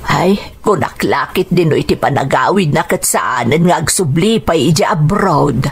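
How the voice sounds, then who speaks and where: A woman's voice: low voice, lively intonation, moderate pace, creaky, husky, full character voice. A woman playing a caring, anxious mother in a radio drama, tender and earnest, with an Ilocano accent. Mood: tender, longing.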